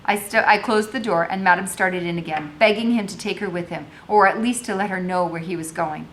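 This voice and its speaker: A woman's voice: fairly low pitch, a little fast, a bit bright, a little hiss or hum, low-quality recording. A woman teacher reading a novel aloud, moving between narration and informal explanatory commentary.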